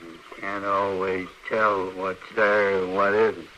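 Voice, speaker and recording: An actor playing a tense, embittered frontier man on guard, gruff and terse, in an old-time radio western. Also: low voice, little pitch movement, slow pace. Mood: wary, weary. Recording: noisy old radio recording.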